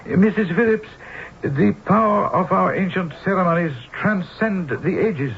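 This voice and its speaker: A ceremonious gentleman from a radio mystery, with a high, creaky voice. He speaks formally and haltingly, full of polite menace. The sound is thin and phone-like. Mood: ominous. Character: courteous, ominous Japanese gentleman warning of cursed bells. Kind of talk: 1970s radio mystery acting. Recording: muffled phone-like old radio with hiss.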